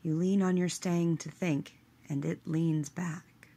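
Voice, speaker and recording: A woman leading a scripted guided meditation in a measured, hushed manner, letting her phrase endings trail away. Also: on the low side, steady tone, breathy edge.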